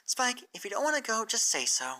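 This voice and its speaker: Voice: mid-range voice, big pitch swings, brisk, bright, forceful tone, pitched above the performer's own voice. A performer voicing a caring young female pony mentor who speaks in an earnest, coaxing way. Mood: reassuring.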